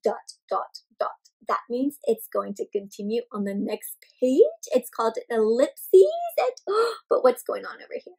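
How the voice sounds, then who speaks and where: A young woman hosting an interactive picture-book read-aloud for small children, expressive and animated, asking them questions as she goes. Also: on the high side, moderate pace, creaky, breathy edge, poor audio. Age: young adult. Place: indoor room at home with plants and bookshelf.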